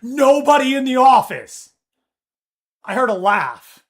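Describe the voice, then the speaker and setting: A man streaming a live game session, chatting casually and telling a work story with swearing and mimicked voices. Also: slow. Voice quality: deep voice. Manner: animated storytelling, swears, reads chat aloud. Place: office.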